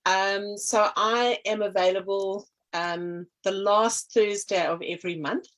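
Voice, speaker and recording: A woman's voice: medium pitch, steady tone, unhurried, a bit bright, rough recording. A middle-aged South African woman talking casually in an interview, meandering with many ums and restarts. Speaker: energy healer and artist, guest.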